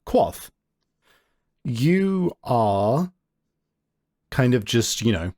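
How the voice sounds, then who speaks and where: A man narrating a tabletop game as game master, improvising and thinking aloud in a halting way. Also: medium pitch, big pitch swings, a little mellow.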